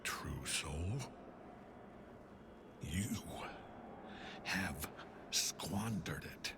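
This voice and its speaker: Slow, low-voiced grieving villain father. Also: lively intonation, background noise, heavily acted. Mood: cold, bitter menace. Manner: solemn, deliberate storyteller, dropping into breathy near-whispers. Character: grieving older father, bitter, menacing cult general. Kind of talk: dramatic video game cutscene acting. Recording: music under the voice.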